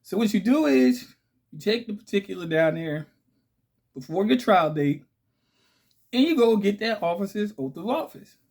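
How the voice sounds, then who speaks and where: A man hosting his own channel in a casual, chatty way, with a raspy voice, often checking in with "okay?" and "right?". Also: high-pitched, animated, normal speed, long pauses, a little mellow. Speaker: channel host. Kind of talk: casual direct-to-camera talk.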